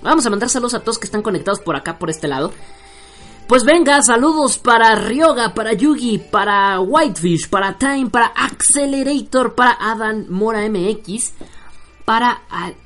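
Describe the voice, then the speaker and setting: A man hosting an online radio show, chatting casually and joking, with many fillers and restarts as he reads out listener shout-outs. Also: high voice, animated, moderate pace, soft background music.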